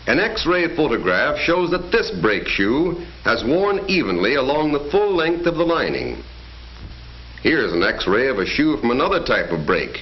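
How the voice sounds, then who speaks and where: A man's voice: mid-range voice, very animated, a little slow. A man narrating a 1940s educational film in an emphatic, declamatory announcer style. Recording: dull 1940s film soundtrack with faint music.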